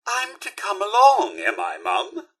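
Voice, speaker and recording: Game companion: loyal, well-mannered robot butler, British accent, bright edge, slightly rough tone, formal and theatrical. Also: male voice, sits high, huge pitch swings, measured pace, exaggerated voice. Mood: courteous, prodding. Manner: prim, formal, theatrical enunciation. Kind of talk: scripted video game voice lines.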